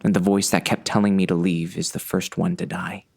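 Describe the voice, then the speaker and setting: A man playing an uneasy gunman who narrates a western audiobook in a brooding, gravelly, dramatic way. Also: low-pitched, little pitch movement, a little fast, a little mellow, heavily acted. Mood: agitated, doubting. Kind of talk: first-person audiobook narration, present tense.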